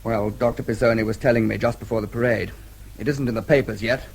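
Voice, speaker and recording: An actor playing a composed diplomat in a British radio drama, matter-of-fact and restrained. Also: male voice, lowish voice, steady tone, fast, creaky, darkish tone, husky. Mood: grave, factual.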